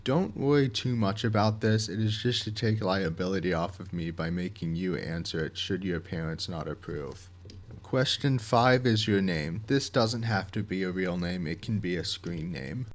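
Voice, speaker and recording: A man reading scripted instructions in a methodical, measured and plainly worded way, like a project director explaining a process. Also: deep voice.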